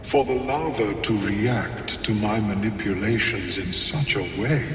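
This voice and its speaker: A calm alien scholar played by a man speaks slowly in a low voice, every phrase formal and exact. The courteous game creature sounds set back in a long, reverberant hall. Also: lightly acted. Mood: grave, puzzled. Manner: measured, formal, precise diction. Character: calm, scholarly, courteous mind flayer alchemist, an otherworldly male creature. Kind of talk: video game character acting. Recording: echoing reverberant hall, distant, with music.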